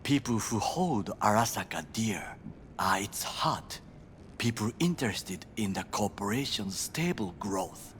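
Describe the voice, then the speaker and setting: An actor playing a grave, proud middle-aged Japanese ex-bodyguard in a video game, speaking formal, clipped English with a Japanese accent. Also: male voice, fairly low pitch, lively intonation, unhurried, fairly bright tone, a little hiss or hum. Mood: grave, firm. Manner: formal, clipped, stern phrasing. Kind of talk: video game dialogue acting.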